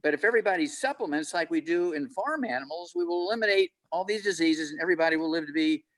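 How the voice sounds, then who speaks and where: A man's voice: a little high, lively intonation, fast talker, a bit bright, low-quality recording. A man in his eighties, a panel guest on a video call, talking boastfully and rambling with many fillers. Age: 80s.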